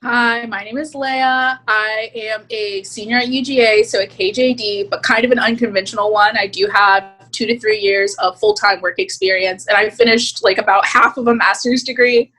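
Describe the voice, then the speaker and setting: A woman in her twenties introducing herself on a panel in a measured, matter-of-fact way. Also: on the high side, steady tone, pushed and bright, faint music behind, low-quality recording.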